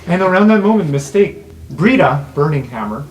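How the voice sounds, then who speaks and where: A man's voice: a little high, big pitch swings, moderate pace, poor audio. A man narrating an improvised tabletop roleplaying game as game master. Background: music.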